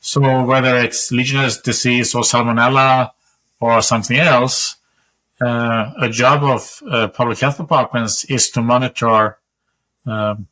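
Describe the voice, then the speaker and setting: A man in his sixties from Sweden, speaking with a Swedish accent, explaining his research in a measured way as a guest on a video call. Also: mid-range voice, fairly even intonation, sharp tone, rough recording. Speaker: guest, epidemiologist. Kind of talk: interview answers over video call. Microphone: webcam on video call.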